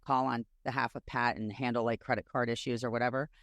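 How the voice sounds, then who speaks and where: A woman with a raspy, deep voice, co-hosting a casual podcast chat and telling stories in an animated way. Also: fast talker, dry recording. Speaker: podcast co-host.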